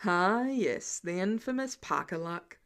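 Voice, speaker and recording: A woman's voice: fairly low pitch, animated, measured pace, fairly bright tone, voice pushed down. A smug, protective rich teenage boy from a storybook, acted out by a woman narrator. He talks with teasing self-assurance.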